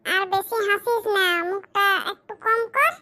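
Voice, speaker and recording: A man voicing a bossy, teasing cartoon sidekick with a rural West Bengali accent and a pitched-up voice. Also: unhurried, sharp tone, exaggerated voice. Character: bossy, teasing male sidekick in a sari business. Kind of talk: comic cartoon dialogue.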